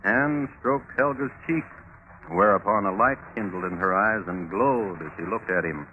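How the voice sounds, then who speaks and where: Low-voiced, deliberate radio storyteller man. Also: slight background noise, close to an everyday voice. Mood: measured, wry. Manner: deliberate, literary. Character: wry, measured male crime storyteller. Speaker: narrator. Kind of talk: 1950s radio scripted narration. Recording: tinny 1950s radio broadcast. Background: music.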